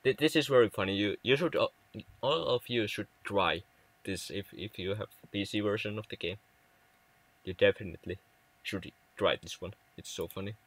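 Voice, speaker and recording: A man's voice: fairly low pitch, moderate pace, a bit bright, low-quality recording. A young man from Finland with a Finnish accent, chatting casually with viewers on a game livestream, often stumbling and restarting words. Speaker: livestream gamer.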